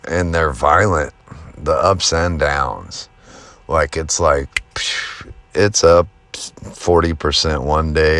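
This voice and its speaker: Very deep-voiced man, slow and casual. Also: a bit bright. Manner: folksy, self-deprecating. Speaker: trading video host. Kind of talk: casual off-the-cuff market commentary.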